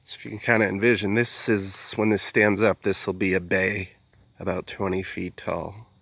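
Man, deep voice, gravelly creak, casual do-it-yourself vlog narration, recorded outdoors. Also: level tone, normal speed, fairly bright tone, dry recording. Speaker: amateur builder making a vlog. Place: outdoors in a wooded yard at a building site. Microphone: handheld phone camera, speaker behind it.

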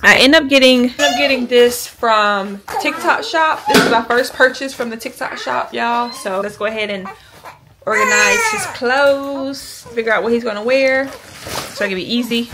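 A woman's voice: a little high, background noise. A young woman, chatting casually to her viewers in a rambling way while holding the camera at arm's length. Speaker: vlogger. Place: home bedroom and a store. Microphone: handheld camera at arm's length.

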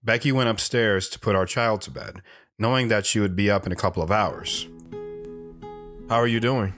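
Brooding soldier and young father acted by a man, low understated voice, audio drama, light guitar underneath. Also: normal speed, a bit bright, close to an everyday voice. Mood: subdued. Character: reflective, stoic young soldier, husband and father. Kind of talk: audio drama first-person narration and dialogue. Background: guitar music.

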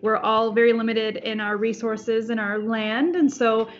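A woman's voice: fairly high pitch, steady tone, measured pace. A woman in her thirties chatting over a video call from home, rambling and restarting her sentences with easy laughs. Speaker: farm sanctuary founder. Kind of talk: casual video call chat. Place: home room. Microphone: laptop webcam on video call. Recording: dull lo-fi call audio, faint music.

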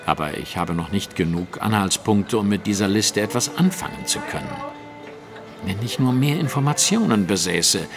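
Casting: an analytical, self-assured sleuth for audio drama, formal and exact, lively intonation, faint music behind. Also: male voice, lowish voice, moderate pace. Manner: precise, formal, deductive.

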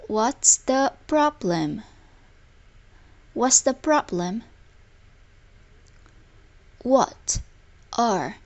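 Very slow, deliberate woman teacher. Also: medium pitch, a little mellow. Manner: deliberate, word-by-word enunciation. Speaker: language teacher. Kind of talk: scripted lesson narration, slow repeated example phrases.

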